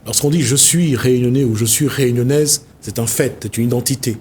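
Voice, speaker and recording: Male TV host, mid-pitched, meandering, thinks aloud, light studio hum. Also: fairly quick, a little mellow. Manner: meandering, searching for words. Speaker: TV show host. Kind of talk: reflective studio talk.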